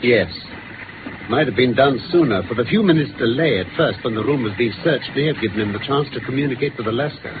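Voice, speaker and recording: A man with a British accent playing a brisk, incisive gentleman detective in a 1950s radio drama, articulate and theatrical as he lays out facts. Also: medium pitch, natural voice. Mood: confident, explaining. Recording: noisy, phone-like old radio recording.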